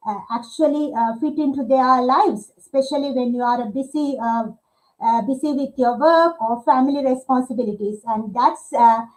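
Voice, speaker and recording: A woman from Sri Lanka in her thirties, hosting a live online interview and pausing often with filler sounds. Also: high-pitched, a little mellow, poor audio. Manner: hesitant, many filler uhs. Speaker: livestream host.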